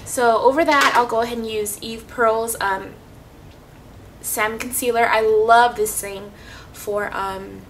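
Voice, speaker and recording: A woman's voice: on the high side, steady tone, measured pace. Sniffly woman doing a makeup vlog, lots of ums, mic a bit far off. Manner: chatty, many ums and restarts. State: sniffly, coming down with a cold. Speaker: beauty vlogger. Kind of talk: casual makeup tutorial. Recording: slightly distant mic, faint hiss.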